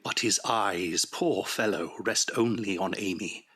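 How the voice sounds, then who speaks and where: A man narrating a period audio drama in a measured, literary storytelling manner. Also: fairly low pitch, pushed and bright, breathy edge, full character voice. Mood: wry, compassionate.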